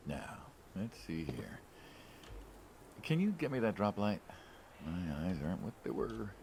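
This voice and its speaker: Middle-aged mob man, very animated intonation, gravelly, persuasive, conversational, game dialogue, faint noise. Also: low-pitched, measured pace, heavily acted. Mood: easygoing. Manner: conversational, coaxing. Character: middle-aged mobster, affable, persuasive, quietly menacing friend. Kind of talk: naturalistic narrative game voice acting.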